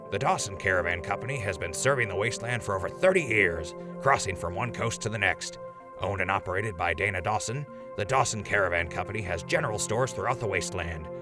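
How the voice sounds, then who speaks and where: A man playing a chatty, wisecracking radio host in a post-apocalyptic radio drama, with a gravelly voice. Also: lowish voice, close to an everyday voice. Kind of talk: radio drama, in-character sponsor reads and banter.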